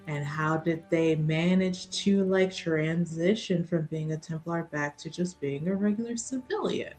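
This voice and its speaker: A woman's voice: lowish voice, darkish tone. A woman chatting casually as a player in a tabletop roleplaying game.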